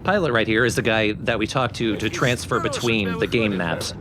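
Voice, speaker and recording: A man giving casual, informal gameplay commentary, explaining things as the host of a let's play. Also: normal speed. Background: game sound effects and rumble.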